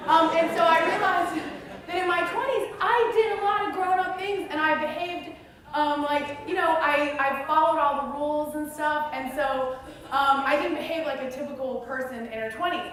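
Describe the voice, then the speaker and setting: Young woman stage host, high voice, halting and full of ums. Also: steady tone, a bit bright. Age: late 20s. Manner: rambling, many ums and uhs. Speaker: comedy theater manager and performer. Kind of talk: stand-up intro before a song. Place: theater stage. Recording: echoey hall, from far off.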